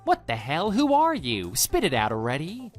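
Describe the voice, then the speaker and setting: A man's voice: a little high, wildly swooping pitch, moderate pace, voice pushed up. A man voicing a cocky, immature love rival with a brash, pushy manner in a scripted online radio drama. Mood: agitated, baffled. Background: background music.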